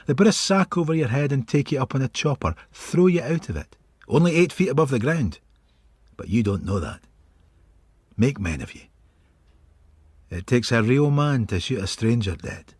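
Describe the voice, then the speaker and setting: An actor playing a brooding, sardonic middle-aged police detective in a radio drama, with a husky voice. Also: male voice, medium pitch, animated, moderate pace, pauses often, a little mellow, dry recording, studio quality.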